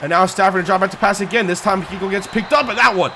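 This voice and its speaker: A young man with a high-pitched voice calls a football video game like a broadcast announcer. His voice jumps up on big plays and he sounds worn and hoarse. The game audio plays clearly behind him. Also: rapid-fire, a faint crowd, a little hiss or hum. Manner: excitable, pitch climbing on big plays, yard-line countdowns. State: losing his voice. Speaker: gaming YouTuber doing play-by-play. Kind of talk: sports-style play-by-play commentary over a video game.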